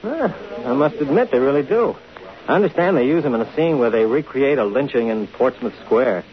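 An American actor playing a sardonic, grumbling homicide lieutenant with slangy banter in a 1950s radio drama. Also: male voice, mid-range voice, lively intonation, a little fast, lightly acted. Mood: matter-of-fact. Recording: muffled 1950 radio recording, background crowd.

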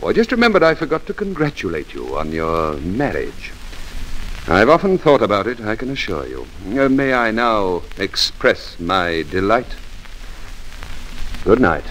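Sardonic gentleman with a grudge, deep-ish and expressive, coolly controlled, vintage radio play. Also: measured pace, low-quality recording. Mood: coldly mocking. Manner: mocking, controlled, formal. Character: bitter, sardonic, newly rich gentleman, former stable boy. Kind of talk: 1940s radio drama acting. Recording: old radio recording with steady hiss.

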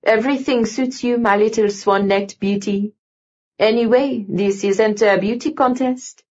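A woman's voice: fairly high pitch, steady tone, unhurried, a little mellow, lo-fi sound. A woman voicing a fussy, affectionate, bossy French female costumier in an audiobook, with an emphatic manner. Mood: doting, assured.

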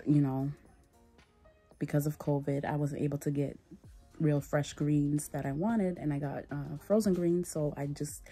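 A woman in her thirties chatting casually to her phone camera at home as a mom vlogger, relaxed and full of ums. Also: low voice, husky. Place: small home room indoors. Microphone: phone held at arm's length.

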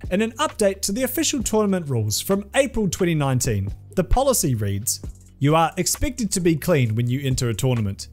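Young guy doing a snarky scripted commentary, high voice that bounces all over, faint music under it. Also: wildly swooping pitch, brisk, a little mellow. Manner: sarcastic, crude jokes. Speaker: video essay host.

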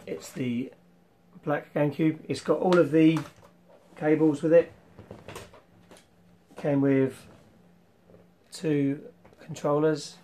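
A young man hosting a casual retro collection vlog, rambling with ums and clearing his throat, his voice slightly worn from losing it. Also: a little high, fairly even intonation, slow, long pauses, mellow, a little hiss or hum. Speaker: retro game collector vlogger. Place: small room full of toy shelves, indoors.

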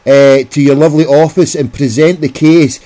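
Scottish man, phone caller, insistent, fairly high voice, soft dark tone. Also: fairly even intonation, moderate pace. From Scotland. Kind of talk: phone complaint.

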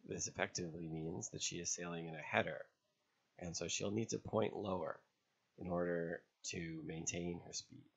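A man narrating an instructional lesson as an instructor, explaining step by step in a measured way with pauses. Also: sits low, steady tone, vocal fry, slightly rough, dull audio. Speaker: sailing instructor. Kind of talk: instructional narration over drawn diagrams.

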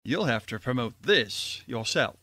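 A man's voice: mid-range voice, big pitch swings, measured pace, sharp tone, full character voice. A man playing an exasperated, tight-fisted college board chairman, impatient and brusque, in old-time radio comedy style.

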